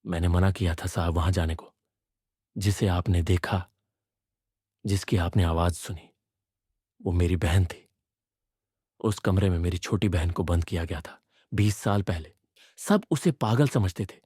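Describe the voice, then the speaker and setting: Subdued male caretaker, done by a male narrator, hushed, respectful, grave, dark dry voice. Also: sits low, unhurried, pauses often, slightly rough, no echo. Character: fearful, subdued male caretaker servant with grim secret.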